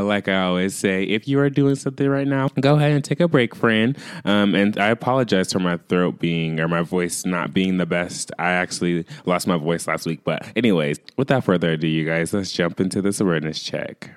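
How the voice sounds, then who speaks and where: A man with a very low voice, smooth and resonant despite a lingering rasp from recovering his voice. He chats casually to his podcast listeners, leaning on fillers and friendly asides. The sound is close and dry. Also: a little fast, hardly any pauses, no echo. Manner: chatty, filler-heavy, addresses listeners as "you guys". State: recovering from lost voice, raspy. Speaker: podcast host. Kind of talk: casual solo podcast chat.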